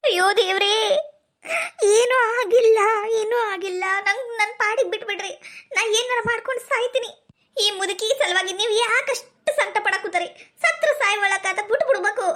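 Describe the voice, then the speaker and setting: Spiteful older mother-in-law played by a woman, very high-pitched, melodramatic, North Karnataka accent, for family drama. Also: fairly quick, a bit bright. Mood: feigned weeping. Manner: taunting, melodramatic. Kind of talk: amateur family drama skit.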